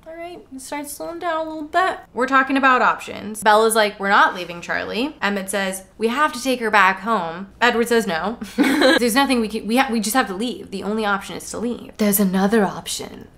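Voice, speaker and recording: A young woman co-hosting a casual book club chat, animated and giggly, breaking into dramatic mock voices. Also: a little high, brisk. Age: young adult. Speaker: podcast co-host. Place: indoor room, sitting on a couch.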